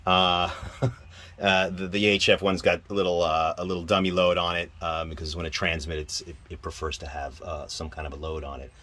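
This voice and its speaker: A man giving an informal hands-on equipment demonstration, halting and thinking aloud as he goes. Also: low-pitched, level tone, pushed and bright. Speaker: presenter demonstrating radio equipment. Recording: clear background noise.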